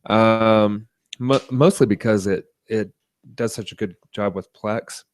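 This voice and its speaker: A man co-hosting a podcast, chatting casually about tech and media in a halting, rambling way. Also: fairly low pitch, steady tone, slight background noise. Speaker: podcast co-host.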